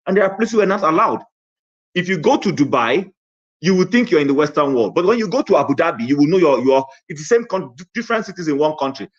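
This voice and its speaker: A man calling in to a political discussion show, speaking at a slightly raised pitch. He talks conversationally and makes his points through rhetorical questions. The call audio is dull and lo-fi. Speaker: caller.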